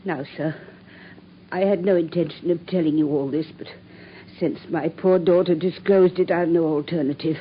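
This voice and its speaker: A woman's voice: lowish voice, fairly even intonation, normal speed, highs cut off, slight background noise, lightly acted. A woman playing a distraught widowed landlady. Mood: distressed. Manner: distressed, pleading, breaking into tears. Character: anxious widowed landlady, middle-aged mother. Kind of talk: radio drama acting.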